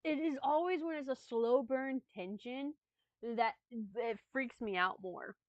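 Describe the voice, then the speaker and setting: A woman's voice: a little high, no echo, highs cut off. A woman streamer who chats casually and reads horror stories aloud, stumbling over words, swearing at her slips and laughing. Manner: halting reading with stumbles and swearing; laughs and chats with viewers between readings. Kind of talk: casual chat and dramatic horror reading.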